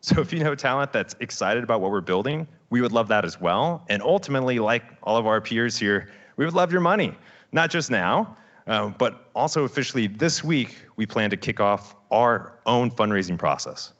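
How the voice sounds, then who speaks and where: A man co-founder delivering a confident, salesy startup pitch on stage. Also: animated, normal speed, a bit bright, highs cut off. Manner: confident, salesy, building to asks.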